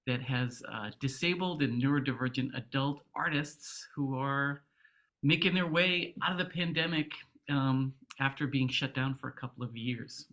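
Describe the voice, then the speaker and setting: Co-director, mid pitch, casual, chiming in on video call. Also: normal speed, a bit bright. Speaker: co-director guest.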